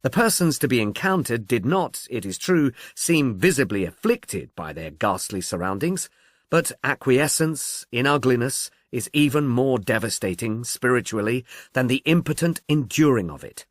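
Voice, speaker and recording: A man narrating a literary mystery audiobook in a measured, articulate, expressive way. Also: mid-range voice, no echo, clean studio sound.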